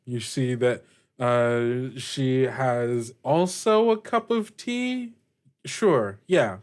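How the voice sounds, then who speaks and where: A man runs a tabletop game as its narrator, building the scene off the cuff. His delivery is slow and conversational, with frequent hesitations, in a mid-pitched voice. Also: expressive, a little mellow. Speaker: game master.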